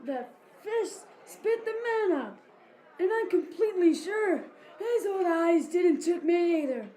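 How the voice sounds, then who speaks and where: A woman's voice: very high-pitched, animated, measured pace, warm tone. A woman playing a folksy, rambling old fisherman in an amateur audio drama. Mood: excited, insistent. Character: old fisherman telling a tall tale.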